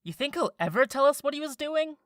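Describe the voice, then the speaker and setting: An irritable young elf boy, voiced by a woman, bickering in a fantasy audio drama. The voice is fairly high and animated, blunt and defensive, recorded close and dry. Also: fast, no room sound. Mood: puzzled.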